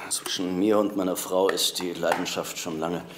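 A middle-aged married man with a low voice, acted by a man in a crime drama. He answers in a subdued, defensive way, with faint background noise. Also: little pitch movement, moderate pace, close to an everyday voice. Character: grieving married man, defensive, questioned by police.

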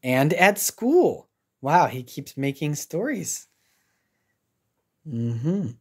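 A woman's voice: sits low, very animated, drawn-out words, slightly rough. An American woman teacher reading a children's picture book aloud, expressively, with simple asides and reactions for young learners.

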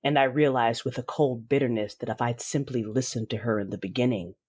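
A woman playing a bitter, imperious Sith Lord bent on vengeance, narrating an audio drama in a grave, measured way. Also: extremely low pitch, steady tone, a little mellow, breathy edge, dry recording. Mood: bitter resolve.

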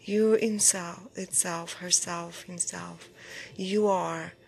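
A woman guiding a meditation in a soft, breathy, near-whispered voice, soothing and unhurried, in short phrases with pauses. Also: lowish voice, steady tone. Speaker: meditation guide. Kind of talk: guided meditation. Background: background music.

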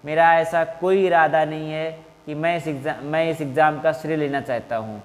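A man in his thirties with a fairly high voice speaks as a coaching teacher addressing exam candidates. His delivery is formal and measured. The room adds noticeable reverb to his voice. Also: fairly even intonation. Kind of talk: earnest appeal to camera for exam candidates. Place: small indoor classroom in front of whiteboard. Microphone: clip-on lapel mic. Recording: reverberant, hard-walled room.

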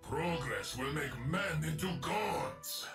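An actor playing an obsessive, cold machine-augmented inventor in a video game, speaking formally and grandiosely. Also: male voice, fairly high pitch, animated, takes it slowly, a bit bright, exaggerated voice. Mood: cold, grave. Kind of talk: video game character lines. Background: game music.

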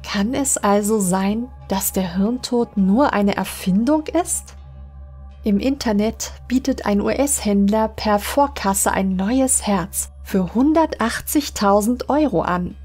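A woman reading scripted horror stories as an audiobook narrator, in a measured, dramatic manner. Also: mid-range voice, a little mellow, breathy edge. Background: music under the voice.

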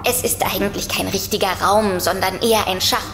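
A woman's voice: medium pitch, steady tone, brisk, fairly bright tone, lightly acted. An actress playing an uneasy but matter-of-fact young woman in an audio drama. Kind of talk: German audio drama acting. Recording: echoey reverb, faint music.

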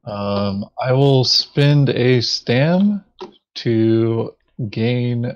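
A man playing a board game, chatting casually and thinking aloud in a halting way. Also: mid-range voice, clean tone, dull audio. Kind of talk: casual tabletop game chat.

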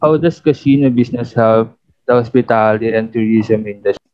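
A man's voice: medium pitch, a little fast, dull audio. A Filipino man asking polite, prepared interview questions over a video call.

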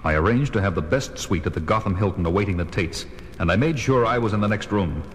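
An actor with an American accent playing a stern, resolute crime-fighting detective in a formal, measured way for a 1970s children's audio drama. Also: male voice, expressive, close to an everyday voice. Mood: grave, intent. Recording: noisy old vinyl record, background rumble.

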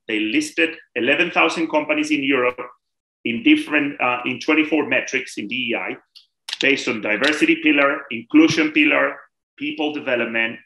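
Bright-voiced man presenting slides. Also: medium pitch. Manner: reads figures off slides, switches briefly to Spanish asides. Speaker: webinar presenter. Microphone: video call. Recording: echoey room over video call.

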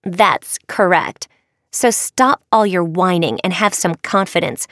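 A woman's voice: on the low side, takes it slowly, fairly bright tone, no room sound, studio quality. A woman voicing a proud, wicked young fairy girl in a storybook, curt, sulky and defiant. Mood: bossy. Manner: haughty, curt.

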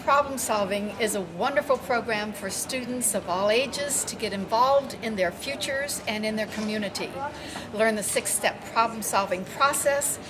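A middle-aged woman delivers a promotional pitch to camera. Her voice is a little high, and music plays clearly behind her. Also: moderate pace. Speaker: program promoter. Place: indoor conference stand.

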